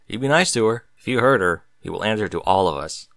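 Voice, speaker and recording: A man's voice: lowish voice, lively intonation, creaky, a bit bright, no echo, studio quality. A man voicing a brash, protective male pegasus pony in a story reading, speaking bluntly. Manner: blunt, slow-spoken.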